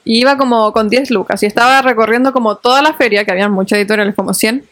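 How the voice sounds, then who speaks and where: Female co-host, young adult, Chilean accent, casual conversational delivery, somewhat high pitch, dry studio-like sound. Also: quick pace, fairly bright tone, dry recording. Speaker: podcast co-host. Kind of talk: casual chat.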